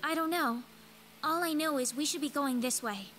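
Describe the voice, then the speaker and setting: Game heroine: young, gentle and earnest, high soft voice, hopeful temper. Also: female voice, moderate pace, faint music behind. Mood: calm, wistful. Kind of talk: English video game dub acting.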